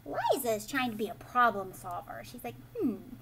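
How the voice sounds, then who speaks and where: A woman in her thirties reading a picture book aloud to children in an expressive, explanatory way, pausing to ask questions. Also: a little high, vocal fry, heavy background noise. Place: living room at home, indoors.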